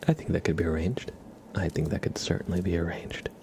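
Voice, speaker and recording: A man hosting an ASMR livestream, chatting casually to viewers in a soft, mostly whispered, gentle and unhurried murmur. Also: low voice, fairly even intonation, background noise.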